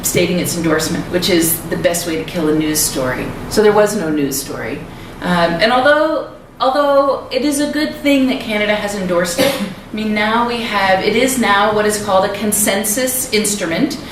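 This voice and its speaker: A woman giving a public lecture in a conversational way, with frequent ums. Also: mid-range voice. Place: lecture hall. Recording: echoey hall, far from mic, noisy.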